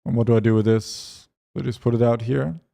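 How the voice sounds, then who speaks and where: A man streaming a game, chatting with his viewers and reading their messages aloud as he plays. His voice is mellow and dark, with a gravelly rasp at the bottom, and his pitch sits in a middle range. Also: steady tone. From Europe. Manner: reads chat aloud while playing, quick asides. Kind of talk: casual gaming stream chat.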